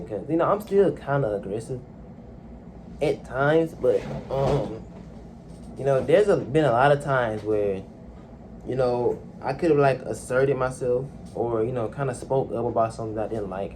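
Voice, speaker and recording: A young man speaks into a phone in a quiet room at home, his voice neither high nor low. He talks haltingly, trailing off and filling gaps with ums. A faint hiss sits under the recording. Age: young adult. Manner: soft-spoken, hesitant, many fillers. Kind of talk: casual personal monologue.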